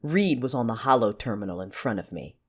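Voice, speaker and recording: A woman narrating an audio drama in the first person, with a deliberate, theatrical delivery. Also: rumbling low, lively intonation, breathy edge.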